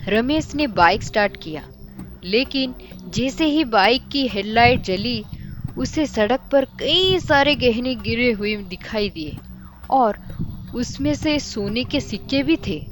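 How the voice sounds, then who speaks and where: A woman's voice: fairly high pitch, lively intonation, slow pace, soft background music. A woman storyteller narrating a scripted horror tale.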